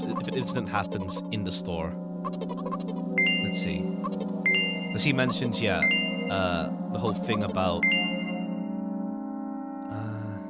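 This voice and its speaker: Animated man commentating over music. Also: lowish voice. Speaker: streamer playing a visual novel. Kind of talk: casual gameplay commentary with read-aloud dialogue. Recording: music playing behind the voice. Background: jazzy game background music.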